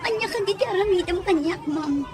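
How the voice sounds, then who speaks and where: A woman's voice: very high voice, brisk, a crowd in the background, noisy background. A woman playing a frightened, pleading young housemaid in an Ilocano audio drama, timid and deferential. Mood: nervous.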